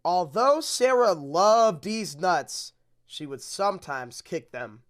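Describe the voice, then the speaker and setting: A storytime host reading a picture book aloud in an exaggerated storybook manner with deadpan asides. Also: medium pitch, slow pace.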